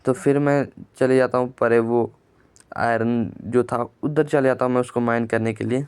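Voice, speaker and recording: A man with a sore throat narrating his own gameplay for his channel in a plain, flat storytelling way, often repeating words. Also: mid-range voice, moderate pace, clear, resonant tone, no room sound. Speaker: gaming channel creator.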